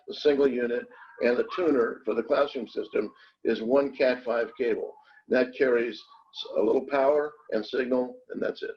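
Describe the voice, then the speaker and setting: A man giving an explanatory technical talk as a product expert, with a halting, hesitant delivery. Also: medium pitch. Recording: dull, band-limited webinar audio.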